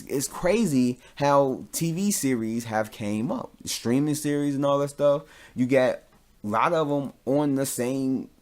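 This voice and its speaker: A man hosts a casual solo podcast in a medium-pitched voice. He talks informally and with plenty of slang, giving his opinions as loose, conversational commentary. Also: a little mellow. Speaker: podcast host.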